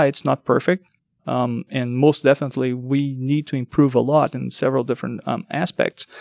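A man whose first language is Portuguese explains his work in an unhurried interview, pausing on ums and repeating words. His voice has a gravelly edge. The sound is dry and a bit muffled, as if lo-fi. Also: medium pitch, no room sound. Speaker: guest, translation test lead. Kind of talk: technical interview.

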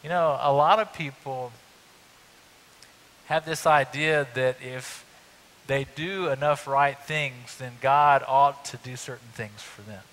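A middle-aged man preaching a sermon on a church stage, talking in a conversational way with lively emphasis. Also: medium pitch, takes it slowly, low-quality recording. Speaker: pastor. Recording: faint hiss.

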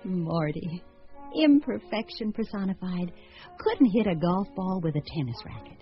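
An actress playing a whimsical, teasing bride in a radio comedy, with an arch, theatrical manner. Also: female voice, lowish voice, lively intonation, a little slow. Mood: fond, wry. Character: whimsical bride Laura weighing five suitors, affectionate and teasing. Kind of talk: radio comedy acting with monologue. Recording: muffled old radio recording, music behind.

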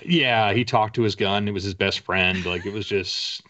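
A man's voice: lowish voice, moderate pace, sharp tone. A middle-aged man chatting casually as a guest author in an interview, rambling enthusiastically through his stories. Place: indoor room with bookshelves, on a video call. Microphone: video call. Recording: slightly dull video-call audio.

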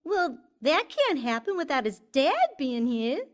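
Rich: a brazen, smug young mistress in a radio drama. Her voice is very high and lively, swooping as she needles the wife with teasing questions. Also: a little slow.

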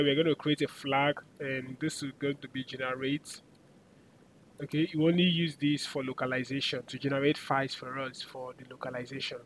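A man giving a screen-recorded coding tutorial, chatty and filling pauses with "uh" and "okay". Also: fairly high pitch, slight background noise, poor audio.

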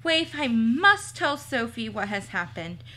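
A woman's voice: a little high, very animated, unhurried, sharp tone, full character voice. A woman voicing an earnest, worried girl heroine from a storybook, who frets and thinks aloud in a chatty way. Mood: chatty, worrying.

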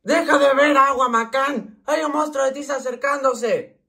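A man's voice: very high voice, fairly even intonation, normal speed, bright, forceful tone, full character voice. A man voicing a nerdy, panicky schoolboy in an amateur comic fandub, dramatic and pleading. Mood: panicked. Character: panicky nerdy schoolboy frantic about a chalk monster.

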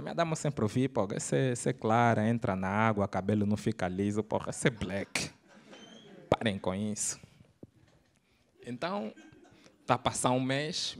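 Angolan man telling stand-up stories. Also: creaky. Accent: Angolan Portuguese. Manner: storytelling with slang, swings between loud bursts and low asides. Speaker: stand-up comedian. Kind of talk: stand-up routine. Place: comedy club stage with audience. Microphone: handheld mic.

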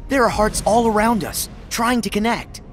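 A man's voice: sits high, moderate pace, faint music behind, natural voice. An actor playing an earnest, spirited teenage boy hero in a video game, heartfelt and emphatic. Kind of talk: animated video game acting.

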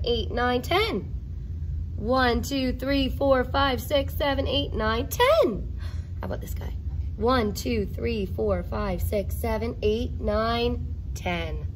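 A woman teacher giving an expressive, playful read-aloud of a picture book for children, exclaiming and adding asides. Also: fairly high pitch, slight background noise, poor audio. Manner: expressive, exclaiming, playful, counts along deliberately.

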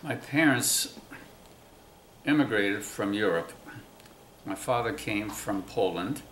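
A man in his nineties, born in Cuba, slowly recalling his family's past in an oral history interview, pausing often. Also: fairly low pitch, animated, fairly bright tone, reverberant, slight background noise, poor audio. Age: 90s. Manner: slow, halting recollection with many pauses. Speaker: interviewee, WWII navy veteran. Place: museum room, indoors.

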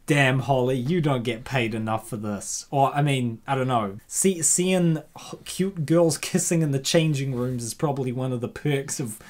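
A woman hosting casual comedic commentary in an excitable, theatrical, playful way. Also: a bass voice, normal speed, hardly any pauses. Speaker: host reacting to a web comic.

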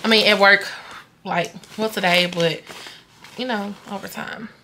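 A woman's voice: mid-range voice, fairly even intonation, a little slow, bright, forceful tone, a little hiss or hum. A young woman, chatting casually to the camera at home at night, tired and rambling, often trailing off to herself. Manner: tired, rambling, talks to camera and her dog. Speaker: vlogger. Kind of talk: casual vlog chat.

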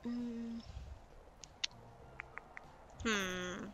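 A woman's voice: a little high, steady tone, very slow, fairly bright tone, breathy edge. A young woman, chatting casually to herself while playing a building game on stream. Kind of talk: casual gameplay commentary.

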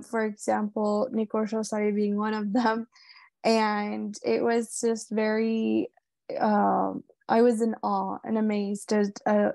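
Creaky-voiced woman from Puerto Rico. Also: medium pitch, darkish tone, no room sound. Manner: conversational with many fillers. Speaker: panelist. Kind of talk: video call panel introduction. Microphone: phone on a video call.

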